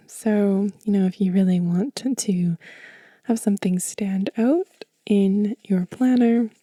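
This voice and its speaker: A woman giving a gentle, informal ASMR show and tell in a breathy, soft whisper, unhurried and close to the microphone. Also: medium pitch, dry recording. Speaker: ASMR channel host.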